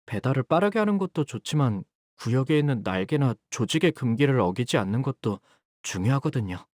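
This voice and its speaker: A man playing an earnest, dutiful young fixer in a video game, polite and soft-spoken but easily flustered. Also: medium pitch, sing-song, fairly quick, no room sound, studio quality, natural voice. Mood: weary, busy. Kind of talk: expressive game character voice lines.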